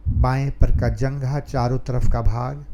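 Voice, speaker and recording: A man leading a guided meditation calmly, pausing between short phrases. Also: mid-range voice, dull audio, slight background noise. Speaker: meditation teacher.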